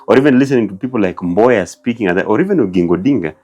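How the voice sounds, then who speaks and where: A man's voice: fairly low pitch, animated, racing, a little mellow. A man hosting an interview, asking curious, probing questions. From Kenya. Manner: curious, probing questions with frequent murmured agreement. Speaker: host. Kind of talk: interview conversation.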